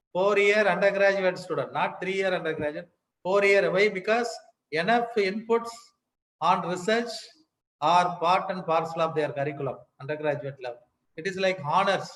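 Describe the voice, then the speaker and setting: An older man with an Indian accent giving a formal lecture, emphatic and pausing often, repeating key words. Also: high-pitched, fairly bright tone. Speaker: academic speaker. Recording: echoey hall.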